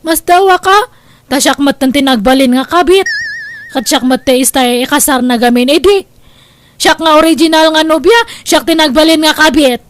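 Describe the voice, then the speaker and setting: A woman's voice: high voice, moderate pace, natural voice. A woman with a Filipino accent playing a stubborn, aggrieved young jilted sweetheart in a comedy drama, plaintive and insistent.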